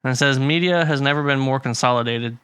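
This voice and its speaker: Man, podcast co-host, relaxed chatty delivery, dry close mic. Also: mid-range voice, fast, clean tone, no echo. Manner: chatty, hedging, laughs often. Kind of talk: casual chat.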